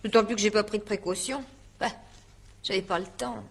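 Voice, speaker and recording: A woman's voice: medium pitch, steady tone, a little fast, close to an everyday voice. An actress playing a naive, chatty eighteen-year-old housemaid in a French radio mystery, stammering and rambling as she gives testimony. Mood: chatty, earnest. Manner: stammering, rambling, emphatic, colloquial.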